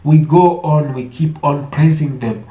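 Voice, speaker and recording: A man's voice: fairly high pitch, unhurried, dark tone. A man giving opinionated commentary, speaking emphatically and posing rhetorical questions. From Africa. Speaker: online commentator. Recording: echoey room.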